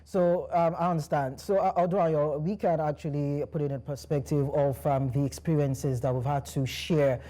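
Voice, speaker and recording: A woman's voice: low voice, steady tone, moderate pace, hardly any pauses, mellow. A woman hosting a studio panel discussion, polite and guiding the talk, with frequent fillers. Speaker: news show host.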